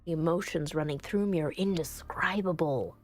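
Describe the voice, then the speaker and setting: A woman voicing an earnest, anguished visual novel protagonist in a halting, dramatic first-person reading. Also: low voice, darkish tone, faint music behind. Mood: despairing.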